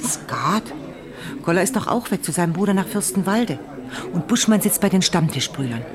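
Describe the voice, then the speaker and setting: An actress playing a chatty, knowing waitress in a German radio crime drama, familiar and gossipy. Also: female voice, lowish voice, expressive, moderate pace, lightly acted. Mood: busy, chatty. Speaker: pub waitress. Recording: busy pub crowd behind voice.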